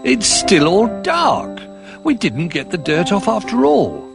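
Little Bear, a man doing a small excitable toy bear. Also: measured pace, music in the background, heavily acted. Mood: eager, fretful. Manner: high, excitable, anxious voice. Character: small eager male toy teddy bear.

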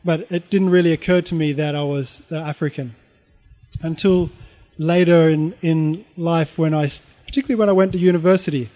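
A middle-aged Australian man shares a personal story in a fairly high male voice. He speaks informally and reflectively, halting often as he searches for the next phrase. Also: fairly even intonation, a little mellow.

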